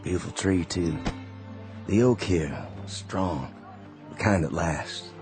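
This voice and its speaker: A man playing a tired, kindly Confederate sergeant, speaking gently and plainly in a radio drama. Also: deep voice, slow, music in the background. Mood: tired, courteous. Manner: gentle, fatherly, plain-spoken. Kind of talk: 1960s-style radio drama acting.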